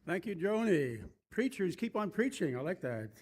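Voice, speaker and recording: A man leading a church service, giving a reflective reading in measured, deliberate phrases with frequent pauses. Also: high voice, sing-song, background noise. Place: church service, speaking to a congregation.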